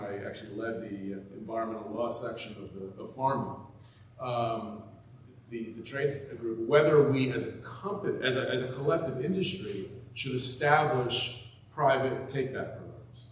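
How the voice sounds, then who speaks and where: A man speaking on a panel, with a loud voice, a casual manner and self-deprecating asides. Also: mid-range voice, a little hiss or hum. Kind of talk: conversational panel talk. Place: event hall at a hospital. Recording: echoey room, far from mic.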